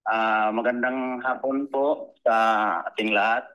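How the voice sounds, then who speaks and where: A man with a Filipino accent answering interview questions as a guest, in a halting, plain-spoken, polite way. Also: medium pitch, fairly even intonation, clean tone, dull audio. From Philippines. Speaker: commercial fisherman, interview guest.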